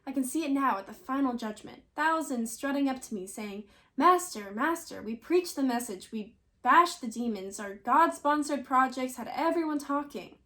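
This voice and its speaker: A woman with a clearly high-pitched voice reads scripture aloud. Her delivery is that of a prepared recitation from a written text. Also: animated, moderate pace, a bit bright. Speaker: scripture reader.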